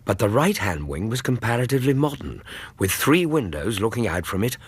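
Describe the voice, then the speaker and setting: An actor playing a loyal, observant Victorian gentleman who narrates a radio drama in an English accent, with a measured, literary storytelling manner. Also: on the low side, big pitch swings, husky, dry recording, full character voice. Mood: measured, descriptive.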